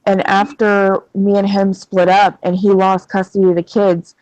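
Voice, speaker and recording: A woman's voice: medium pitch, little pitch movement, moderate pace, darkish tone, no room sound, muffled recording. A woman in her thirties in casual chat, venting bluntly with plenty of swearing. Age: 30s.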